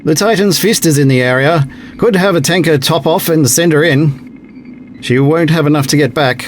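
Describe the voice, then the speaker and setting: A man plays a dutiful young-ranking fleet officer in an audio drama. His voice is on the high side for a man, with efficient military reporting over slight background noise. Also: moderate pace, close to an everyday voice.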